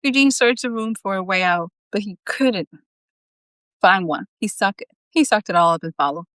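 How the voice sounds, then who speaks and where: A woman's voice: mid-range voice, expressive, normal speed, fairly bright tone, dry recording. A woman reading a story aloud as its author, in a live reading style with casual asides.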